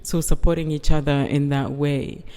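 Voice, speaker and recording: A woman from Uganda, a guest artist, talking in a calm, measured way and often affirming with "yes". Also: sits low. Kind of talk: calm conversational interview. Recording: long hall reverb.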